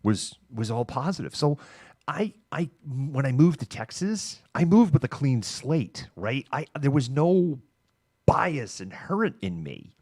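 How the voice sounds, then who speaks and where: A middle-aged American man hosting a livestream, talking casually and candidly in a halting, informal monologue. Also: mid-range voice, very animated, darkish tone, no echo, clean studio sound. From Massachusetts, United States. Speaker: livestream news host. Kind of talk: casual monologue of personal reminiscence.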